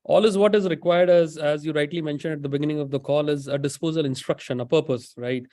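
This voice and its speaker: A man's voice: on the high side, fairly even intonation, quick pace, warm tone, no room sound. A man who is a guest on a business webinar over a video call, explaining a banking process and often adding "right?". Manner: explanatory, many "right?" tags and fillers.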